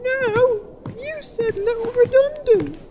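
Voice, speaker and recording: A man's voice: very high-pitched, slow, noisy background. A man voicing a meek, naive little sidekick in a comedic fantasy audio drama, whiny, plaintive and politely deferential.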